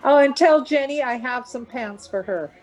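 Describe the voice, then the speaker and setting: An actress playing a lighthearted, playfully teasing middle-aged wife and mother in a naturalistic radio play, with a husky voice. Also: sits high, normal speed, darkish tone, close to an everyday voice, low-quality recording. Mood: affectionate, hurried.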